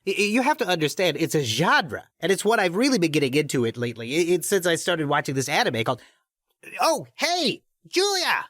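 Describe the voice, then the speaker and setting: An actor playing a chatty, awkward teenage geek who rambles and stammers in an audio drama. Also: male voice, fairly high pitch, sing-song, brisk, fairly bright tone, no echo, clean studio sound. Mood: excited rambling.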